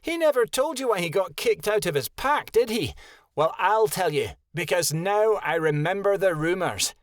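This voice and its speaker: A man voicing a stern, motherly female wolf elder in a high, gentle voice. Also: expressive, moderate pace, no echo, clean studio sound. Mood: accusing.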